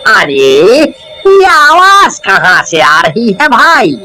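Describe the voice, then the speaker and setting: A man playing an excitable village tea seller in a cartoon story, theatrical, exclaiming and repeating his words. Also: sits high, drawn-out words, faint music behind, exaggerated voice. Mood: startled.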